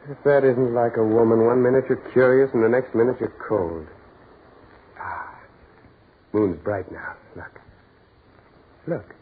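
An American actor playing a curious, insistent man on a dark beach in a 1940s radio horror play. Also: mid-range voice, a little slow, pauses often, slightly rough, narrow, tinny audio. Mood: puzzled. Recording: scratchy band-limited 1940s radio recording.

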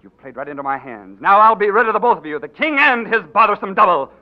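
A man's voice: high voice, big pitch swings, fast talker. An actor playing a sneering, taunting villain swordsman in a 1940s radio drama. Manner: mocking, taunting, laughing. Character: sneering swashbuckling villain swordsman, young man. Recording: dull lo-fi 1940s radio broadcast.

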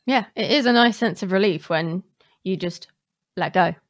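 A woman's voice: mid-range voice, normal speed. A woman hosting a podcast in a casual, conversational interview style. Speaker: podcast host.

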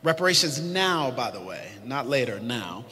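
Guy hosting a conference panel, bright voice, hyping the crowd, echoey hall. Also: mid-range voice, big pitch swings, normal speed. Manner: preacherly, rallies the audience. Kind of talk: live panel moderation. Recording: echoey hall, faint noise.

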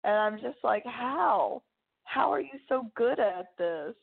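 A woman's voice: on the high side, expressive, slow pace, creaky, husky. Informal woman co-host on thin, phone-like audio. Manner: informal, mildly profane, rambling. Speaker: podcast co-host. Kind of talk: casual chat about film actors.

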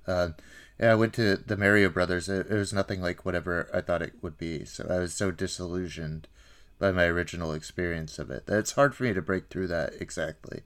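A man in his thirties with a very low-pitched voice. He talks casually as a podcast co-host, feeling his way through thoughts in a halting, wry manner. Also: steady tone, a bit bright. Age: 30s. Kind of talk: casual film discussion.

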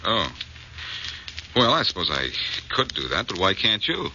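Wry, self-assured American sleuth, deep and lively, clipped lines, noisy vintage radio sound. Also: male voice, unhurried, exaggerated voice. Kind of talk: 1940s radio mystery acting. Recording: muffled, noisy old-time radio recording.

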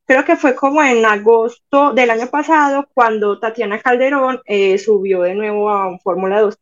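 Casting: young Colombian woman, talkative guest, slightly high voice, dull lo-fi sound. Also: moderate pace. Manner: chatty, animated storytelling. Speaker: podcast guest, Instagram page admin. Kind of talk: casual chat.

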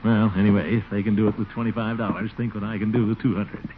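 An actor playing a pompous, blustery, easily flustered middle-aged water commissioner in a 1940s radio comedy, on a noisy, muffled recording. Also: male voice, lowish voice, little pitch movement, fast, close to an everyday voice. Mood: smug, scheming. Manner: blustery, self-important, chuckling.

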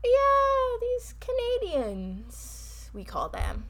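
A woman with a Barbadian accent co-hosting a podcast, talking fast and emphatically in casual chat. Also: very high voice, wildly swooping pitch, a bit bright, a little hiss or hum. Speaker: podcast co-host.

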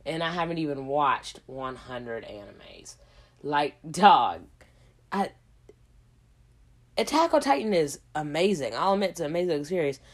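A woman hosting a casual solo podcast, hoarse from a cold, rambling in a slangy way with lots of asides. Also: sits low, lively intonation, unhurried, long pauses. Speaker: podcast host.

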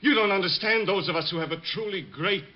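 A man's voice: high-pitched, quick pace. An actor playing a bitter, unhinged bomber convinced of his own genius, politely menacing and prone to agitated outbursts, in 1950s radio drama style. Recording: muffled vintage radio broadcast recording.

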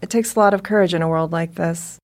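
A woman playing a calm, protective mother who reassures others in an audio drama. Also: on the low side, fast talker, slight background noise, lightly acted. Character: steady protective mother, Tessa.

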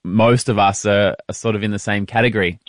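A man hosting a call, gently and reflectively asking questions and sharing his own view as an adoptee. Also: fairly low pitch, steady tone, a little fast, no room sound, studio quality. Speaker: host and adoptee. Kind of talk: casual interview on a call.

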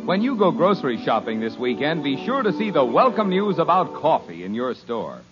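A man's voice: very animated, fairly quick, over music. A male announcer reading a commercial in an upbeat, salesy manner for a 1950s radio broadcast. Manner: smooth, upbeat sales pitch. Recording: dull lo-fi old radio recording, faint hiss.

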